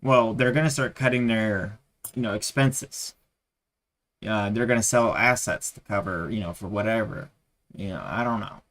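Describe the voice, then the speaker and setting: A man's voice: on the low side, normal speed, a bit bright. A tired man losing his voice, rambling with many fillers as he chats casually on a live trading stream.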